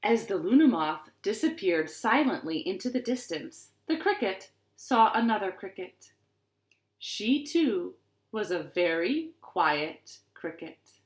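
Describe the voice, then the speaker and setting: Slow, expressive woman reading to young children. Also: mid-range voice. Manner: expressive, deliberate, asks the listeners questions. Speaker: children's librarian storyteller. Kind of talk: storytime read-aloud and rhymes for young children. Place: living room at home. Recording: roomy, echoing living-room sound.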